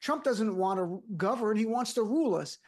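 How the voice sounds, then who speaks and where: A man's voice: high-pitched, lively intonation, normal speed. A man speaking bluntly and conversationally as a guest on a political panel. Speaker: guest commentator.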